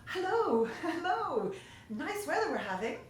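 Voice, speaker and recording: Woman playing a magical storybook moon boy, friendly, inviting, high lilting pitch, big echo. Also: sing-song, a little slow, exaggerated voice. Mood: friendly, eager. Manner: cheerful, gentle, inviting. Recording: very echoey room, faint noise.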